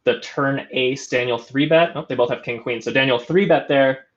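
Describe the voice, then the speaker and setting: A man's voice: medium pitch, moderate pace, muffled recording. A man hosting a live poker stream, commentating in a chatty, musing way with frequent restarts.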